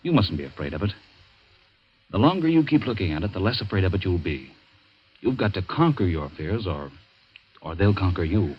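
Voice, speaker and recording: An actor playing a firm, patronizing, reassuring doctor in a 1940s radio thriller. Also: male voice, low voice, sing-song, brisk, pauses often, breathy edge, dull audio, full character voice. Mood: dismissive, coaxing. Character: firm, paternal male doctor soothing a frightened patient.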